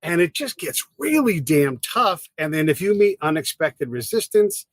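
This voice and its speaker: A man hosting a podcast, talking in a casual, energetic, conversational way. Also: fairly high pitch, normal speed, no room sound. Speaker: podcast host.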